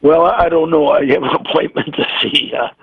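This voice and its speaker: A man doing a squeaky giggly old sea captain. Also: a little fast, husky, no echo, narrow, tinny audio. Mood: giddy. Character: giddy old male sea captain caller. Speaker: caller.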